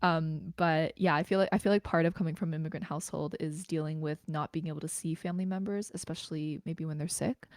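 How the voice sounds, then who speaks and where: A woman's voice: sits low, fairly even intonation, fast, few pauses, no room sound, studio quality. A woman, a spoken word poet performing and introducing her own work. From Canada, Greater Toronto Area.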